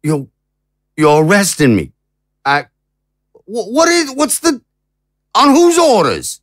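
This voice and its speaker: An actor playing an anguished, indignant and naive president in an audio drama, with stammering, rhetorical speech. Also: male voice, high voice, wildly swooping pitch, slow pace, a bit bright, no echo, clean studio sound, full character voice. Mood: incredulous. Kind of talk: dramatic audio fiction acting.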